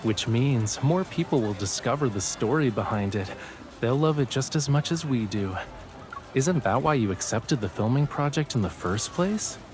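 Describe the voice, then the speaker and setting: A man's voice: mid-range voice, animated, moderate pace, music in the background, natural voice. A man playing a playful, affectionate young artist boyfriend who teases smugly in a romantic game audio drama. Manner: teasing, playful, smug.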